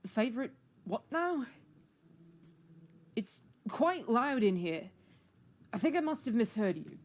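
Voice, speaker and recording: A woman's voice: a little high, sing-song, takes it slowly, darkish tone. A woman voicing an affable, tipsy, oblivious himbo in an amateur comic drama, with a genteel, befuddled manner. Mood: genial, tipsy.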